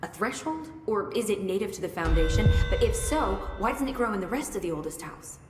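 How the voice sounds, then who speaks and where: An actress playing a brisk, curious research scientist with dry wit in a video game, thinking aloud analytically. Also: female voice, medium pitch, expressive, over music, close to an everyday voice. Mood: fascinated. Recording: echoey hall with faint noise.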